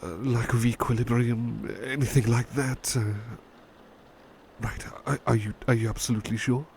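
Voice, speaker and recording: A man playing a devoted, humble butler in an ASMR roleplay, speaking softly and tenderly with halting, repeated words. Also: medium pitch, steady tone, slight background noise, close to an everyday voice. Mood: nervous, excited. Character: devoted, gentle, humble male butler sweetheart. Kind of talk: ASMR roleplay, personal attention.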